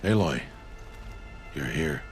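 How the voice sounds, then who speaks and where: A stern outcast mentor in a game, his very low voice deep and grave. He speaks in solemn, terse lines over soft music. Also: lively intonation, slow, full character voice. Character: gruff middle-aged male outcast mentor, stern. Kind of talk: video game cutscene acting.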